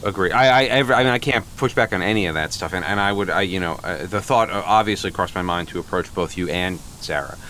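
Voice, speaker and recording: Man playing flustered boyfriend, low voice, stammering, apologetic, agreeable, repetitive, improv radio comedy, noisy background. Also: fast, few pauses, pushed and bright. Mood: nervous agreement. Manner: stammering, agreeable, repeats himself. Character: flustered, over-apologetic boyfriend asking for a blessing.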